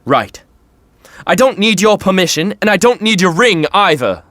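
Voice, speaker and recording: A man's voice: sits high, very animated, moderate pace, bright, forceful tone, dry recording, heavily acted. A man playing a stressed, sarcastic young prince in a modern audio drama, dryly delivered and stammering when flustered. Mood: bitter, subdued. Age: young adult.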